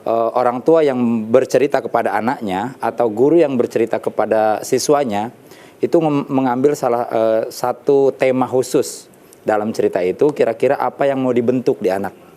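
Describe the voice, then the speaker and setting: Warm-toned man explaining calmly. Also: mid-range voice. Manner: measured explanation with occasional fillers. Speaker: Islamic storyteller and teacher. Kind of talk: explaining in a TV interview. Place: TV studio.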